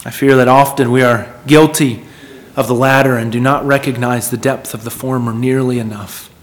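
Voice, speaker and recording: A man's voice: medium pitch, steady tone, normal speed. A man preaching a sermon in an earnest, emphatic manner, repeating phrases for weight and putting questions to his listeners.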